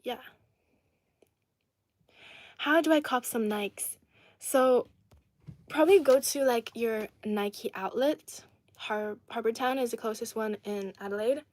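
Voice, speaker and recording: A woman's voice: a little high, slow, pauses often, dry recording. Giggly young woman chatting on a livestream. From Ireland. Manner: chatty and giggly, reading comments aloud and answering. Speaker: livestream host reading viewer comments. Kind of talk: casual livestream chat. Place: indoor home setting in Adelaide.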